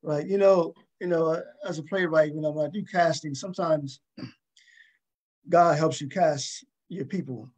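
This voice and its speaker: A man hosting a casual interview show, speaking in a halting way and often repeating his words. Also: a little high, a little mellow. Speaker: talk show host.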